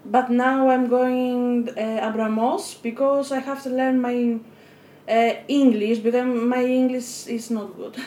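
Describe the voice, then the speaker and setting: A middle-aged woman, speaking halting, hesitant English as a while she bakes and chats in her kitchen. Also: on the high side, steady tone, mellow. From Albania. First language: Albanian. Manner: halting learner's English with pauses and self-corrections. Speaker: guest baking a cake. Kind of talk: casual chat while baking. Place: home kitchen.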